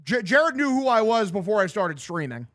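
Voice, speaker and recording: Man in his twenties, high-pitched voice, sports livestreamer, casual slangy talk, sweary, baseball commentary. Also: very animated, normal speed, a bit bright. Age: 20s. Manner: profane, slangy, bro-like talk. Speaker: sports streamer calling a baseball game and answering chat. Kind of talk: casual livestream chat mixed with baseball play-by-play.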